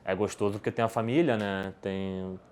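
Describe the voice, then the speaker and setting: A man's voice: on the low side, steady tone, normal speed, a little mellow, slight background noise. A Brazilian man, a podcast guest, answering questions in casual talk, rambling with many restarts and tag questions. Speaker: podcast guest, poker player.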